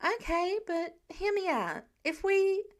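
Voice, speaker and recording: A woman's voice: very high-pitched, lively intonation, takes it slowly, pitched above the performer's own voice, exaggerated voice. A woman voicing an eager, hopeful boy who pleads persistently, in an audiobook story. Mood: pleading, hopeful. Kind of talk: audiobook character voice.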